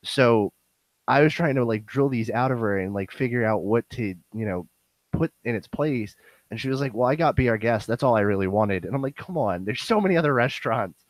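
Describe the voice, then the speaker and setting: A young man hosting a casual podcast with a hoarse, worn-out voice that sounds nasal and bassy, rambling with lots of fillers. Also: animated, a little fast, dry recording. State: hoarse, voice going. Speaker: podcast host.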